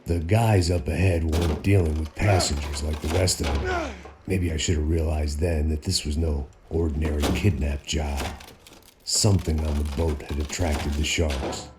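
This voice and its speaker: Casting: jaded American game hero, middle-aged, extremely low voice, deadpan noir narration, some background ambience. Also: male voice, normal speed, background noise. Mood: weary, brooding. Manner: world-weary, deadpan, sardonic.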